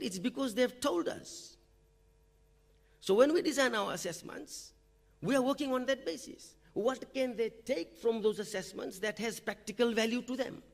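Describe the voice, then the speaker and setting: Lecturer, male, from Fiji; high voice with a gravelly edge, chatty conference-talk delivery. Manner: conversational, frequent "okay?" check-ins. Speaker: university lecturer presenting at a teaching forum. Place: lecture hall or forum room.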